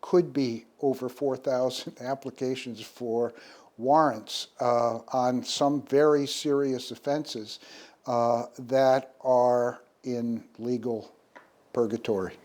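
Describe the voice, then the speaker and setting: An American man speaking as an academic expert in an interview. His pitch is middling, and he makes his points emphatically, leaning on key phrases. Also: steady tone, slow, a little mellow. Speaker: criminology professor, interviewee.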